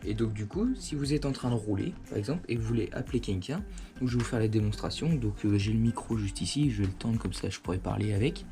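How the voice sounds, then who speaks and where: A man's voice: fairly low pitch, quick pace, over music. A man giving a casual, hands-on product demonstration at home, explaining things in an informal, conversational way. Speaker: host of a motorcycle gear review channel. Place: indoors at home.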